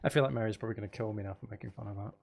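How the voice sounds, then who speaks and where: A man's voice: lowish voice, very fast, creaky, darkish tone. A man hosting a casual gaming livestream, chatting in a playful, teasing, rambling way and sounding a little tired. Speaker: livestream host.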